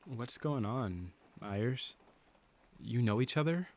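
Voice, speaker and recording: A man acting a steady, matter-of-fact policeman with dry concern in a radio play. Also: medium pitch, slow pace. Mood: questioning, official.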